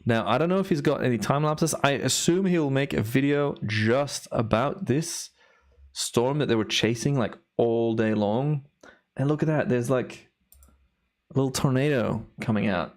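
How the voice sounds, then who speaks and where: Male streamer, medium pitch, loose casual commentary, easily awed, voice wearing thin. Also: normal speed. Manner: enthusiastic, awed exclamations. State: voice tiring from a day of recording. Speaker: live stream host, timelapse photographer.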